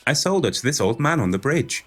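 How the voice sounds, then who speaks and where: An actor playing a naive, dim, excitable young male dreamer in a pantomime audio drama. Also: medium pitch, brisk, soft background music. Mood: earnest.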